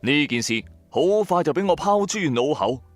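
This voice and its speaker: A man with a Hong Kong Cantonese accent telling a first-person story in an expressive, colloquial way, with chatty asides to the listener. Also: on the high side, moderate pace, dry recording. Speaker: storyteller, narrator. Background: background music.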